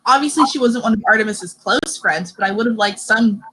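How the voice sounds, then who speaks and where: A young woman in her early twenties with a fairly high voice hosts a book discussion. She talks casually, as in a relaxed chat. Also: fairly quick, husky, rough recording.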